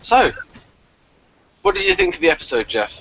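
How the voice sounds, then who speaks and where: Bright-voiced man hosting, slightly lo-fi. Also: on the high side, wildly swooping pitch, moderate pace, creaky, a little hiss or hum. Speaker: podcast host. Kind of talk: episode synopsis and casual review chat.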